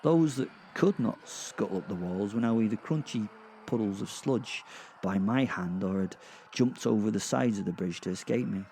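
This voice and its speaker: A man playing a gleeful, foul-mouthed werewolf who narrates a dark comic horror audio drama in a rambling, conversational, sardonic way. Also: lowish voice, normal speed, slight background noise, close to an everyday voice. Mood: sardonic, exasperated. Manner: rambling, sardonic, sweary asides with sighs and chuckles. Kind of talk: first-person storytelling, conversational dark comedy.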